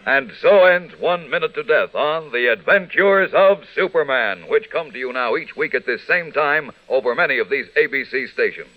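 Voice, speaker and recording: An actor as a grand, suspenseful narrator of a 1940s radio adventure serial, declaiming on an old broadcast recording. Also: male voice, mid-range voice, animated, moderate pace, few pauses, sharp tone, poor audio. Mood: formal. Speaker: announcer and narrator. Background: dramatic music.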